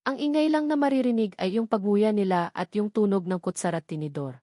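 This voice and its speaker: A woman's voice: medium pitch, a little fast, mellow, dry recording. Female Filipino narrator for emotional audio drama, mid-range voice, very dry recording. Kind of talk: dramatic scripted story narration in mixed Tagalog and English.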